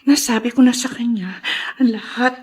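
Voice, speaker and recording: An actress playing a gravely ill, self-sacrificing woman in a Filipino radio melodrama, with a breathy, husky voice. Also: a little high, normal speed, darkish tone. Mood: weak, resigned.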